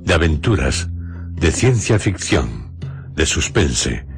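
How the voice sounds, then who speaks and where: Very deep, slow male narrator. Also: very animated, creaky. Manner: solemn, theatrical, short phrases with long pauses. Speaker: radio drama presenter and narrator. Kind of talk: dramatic scripted narration. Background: music behind the voice.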